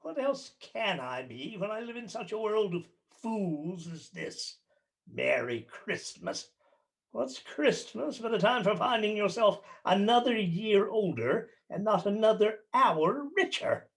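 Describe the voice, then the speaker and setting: A man's voice: sits high, sing-song, a little slow, creaky, heavily acted. A man playing Scrooge, a grating old miser. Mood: scornful. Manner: grating, snarling, scornful. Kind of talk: radio play acting.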